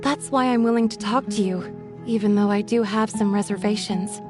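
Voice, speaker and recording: A woman voicing a withdrawn young village woman in a video game, soft-spoken, hesitant and guarded. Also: medium pitch, steady tone, normal speed, close to an everyday voice. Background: game background music.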